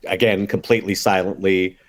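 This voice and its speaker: A man's voice: deep voice, fairly even intonation, a little fast. A man casually describing his character's moves as a player in a tabletop roleplaying game.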